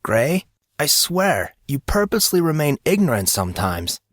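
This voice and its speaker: A man voicing a concerned, gently chiding teenage schoolboy friend, careful and questioning, in audiobook dialogue. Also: on the high side, sing-song, normal speed, no room sound, clean studio sound, voice pushed up. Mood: exasperated.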